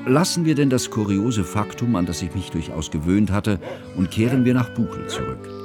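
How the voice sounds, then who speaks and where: An actor playing an earnest, bookish man narrating memories of a friend in formal, measured for a radio drama. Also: lowish voice, animated, over music, close to an everyday voice. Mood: reflective. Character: earnest, bookish male narrator recalling his friend's childhood.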